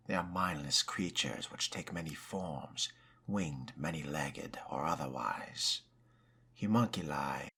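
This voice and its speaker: A man voicing a wry, scholarly fledgling vampire in video game role-play, measured, theatrical and musing in delivery. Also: very deep voice, bright, forceful tone, husky, heavily acted. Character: cultured, wry male fledgling vampire, scholarly and self-possessed. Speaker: role-playing gamer. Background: music.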